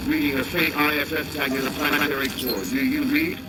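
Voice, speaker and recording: A man's voice: mid-range voice, flat delivery, a little fast, low-quality recording. A man playing an intent military ship officer in a video game, using clipped radio procedure over a transmission. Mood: intent, official. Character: male military ship commander, decisive and intent. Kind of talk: video game cutscene acting. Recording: loud music, radio-like transmission.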